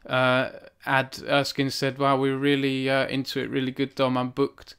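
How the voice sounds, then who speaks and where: A man in his thirties speaks close to a studio microphone in a small room at home. His voice sits at a middle pitch. He reads steadily, then chats earnestly and a little self-consciously with his viewers, restarting his words often. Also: completely flat delivery, normal speed, a bit bright, clean tone. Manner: earnest and self-conscious, with frequent restarts and fillers when chatting. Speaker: author hosting a book launch stream. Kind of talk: reading aloud from his novel, then chatting with live viewers. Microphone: studio microphone on a stand in front of him.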